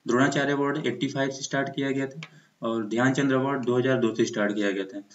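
A man's voice: medium pitch, fairly even intonation, fast talker. An Indian man teaching exam preparation in an explanatory lecture style, mixing in English terms. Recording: muffled, echoey room recording.

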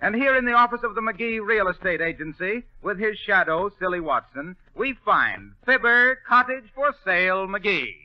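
A man's voice: sits high, big pitch swings, normal speed, few pauses, no echo. An American man announcing on 1930s radio, reading scripted copy with polished, emphatic salesmanship. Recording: dull 1930s radio recording with music.

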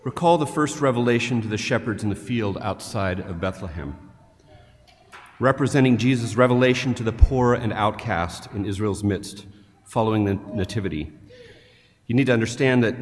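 An older man, a deacon, delivering a measured homily read from a prepared text at a lectern in a large cathedral. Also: on the low side, slight background noise. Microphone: headset mic, standing at a lectern. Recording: long reverb of a large church.

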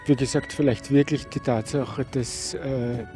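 An older man giving reflective, measured answers in an outdoor street interview. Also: mid-range voice, steady tone, mellow, soft background music. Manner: measured, reflective, some hesitations. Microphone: handheld microphone held by interviewer.

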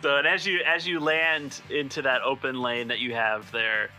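A man working as game master, narrating improvised scenes descriptively and a little haltingly. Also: medium pitch, fairly even intonation, pushed and bright, soft background music, poor audio.

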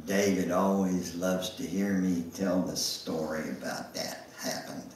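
An older man giving a dramatic memoir reading in a deliberate, theatrical storytelling manner. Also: low-pitched, little pitch movement, a little mellow, a little hiss or hum. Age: older, 70s or above. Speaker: guest speaker reading aloud. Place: church sanctuary, at a lectern. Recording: echoey room, recorded from far off.

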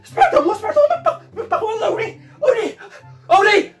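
A Syrian woman playing a jumpy, stubborn young woman who feigns bravery in a comedy sketch, talking excitedly and repeating words insistently. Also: sits high, very fast, music in the background, lightly acted. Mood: frightened, frantic. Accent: Levantine Arabic, Syrian. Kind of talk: comedic domestic sketch acting.

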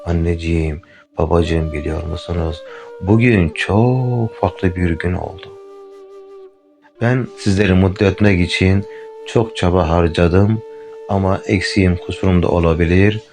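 A man voicing a small, earnest schoolchild ant in a storybook tale, in his own low voice. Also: measured pace, darkish tone, soft background music. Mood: loving.

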